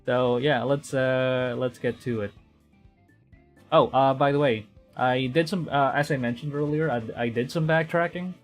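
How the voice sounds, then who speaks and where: Young guy streaming a game, slow, kinda mumbly, corrects himself a lot, soft guitar music in the back. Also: mid-range voice, fairly even intonation. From Philippines. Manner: rambling, self-correcting, quietly talking to himself. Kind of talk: casual gameplay commentary.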